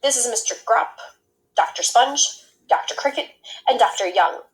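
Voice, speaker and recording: A woman voicing a brisk, self-assured male family doctor from a children's storybook, with emphatic delivery. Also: a little high, natural voice, poor audio. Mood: pondering, businesslike.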